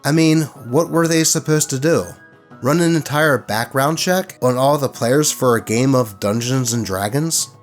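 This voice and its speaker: A man narrating stories in an expressive, colloquial way, with casual commentary mixed in. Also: mid-range voice, moderate pace, soft background music. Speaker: host reading online tabletop horror stories.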